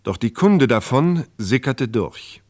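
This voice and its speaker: A man's voice: medium pitch, sing-song, slow, a little mellow, no room sound. Male crime narrator, dry close sound. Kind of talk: scripted true-crime radio play narration.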